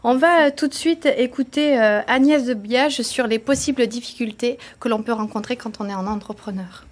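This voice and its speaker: A woman moderating a television panel in a polite, guiding manner. Her voice is somewhat higher than average, and she keeps her questions short and neat. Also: normal speed. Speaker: TV host. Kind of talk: hosting a studio discussion.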